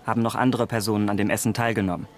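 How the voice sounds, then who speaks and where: A man's voice: on the low side, level tone, very fast, close to an everyday voice. A man playing a courteous, persistent police investigator, speaking formally and earnestly in a radio crime drama. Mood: politely probing.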